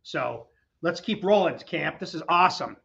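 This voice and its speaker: A man with a high, bright voice hosts a casual interview, rambling and chatty as he tells his own memories. His voice is still recovering from a recent illness, and the recording sounds dull and lo-fi. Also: animated, normal speed, creaky, breathy edge. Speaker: host. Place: his garage, windy outdoors.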